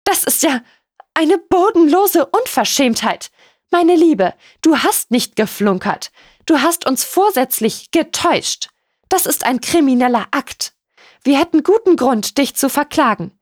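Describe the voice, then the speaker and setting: A woman's voice: high-pitched, lively intonation, measured pace, no room sound, clean studio sound, voice pushed up. A woman voicing a haughty, venomous older aristocratic lady in an audiobook, cutting and condescending. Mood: shrill indignation.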